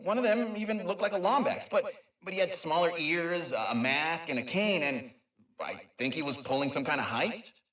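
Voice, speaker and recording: A man's voice: a little high, lively intonation, moderate pace, fairly bright tone, reverberant, recorded from a distance. A man voicing an eager, nerdy, kind creature inventor who rambles and stammers through an informal video game audio log. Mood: awed, rambling. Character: eager, nerdy, kind male Lombax inventor and dimension mapper.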